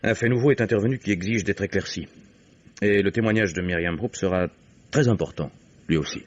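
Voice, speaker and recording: A deep-voiced, husky man played by an actor speaks as a level-headed, insistent friend in a vintage radio mystery. He is firm and matter-of-fact, on a dull old recording. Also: a little fast, close to an everyday voice. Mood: firm, calm. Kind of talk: French radio mystery drama acting.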